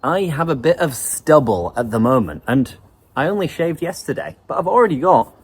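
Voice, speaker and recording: Lively man teaching English vocabulary. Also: on the high side, normal speed, faint music behind. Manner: lively explanatory. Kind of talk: online vocabulary lesson.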